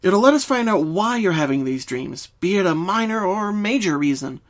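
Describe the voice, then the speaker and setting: Confident, bookish young mare played by a man, raised pitch, explanatory and reassuring, for an audio story. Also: animated, normal speed. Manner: assured, explanatory. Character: confident, reassuring young female magical unicorn, studious.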